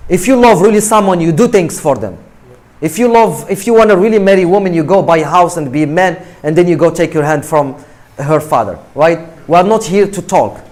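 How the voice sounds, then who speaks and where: A man's voice: on the high side, expressive, fairly quick, darkish tone. A man from Morocco, speaking English as a guest speaker in an emphatic motivational talk to students, often checking in with "right?" and repeating himself.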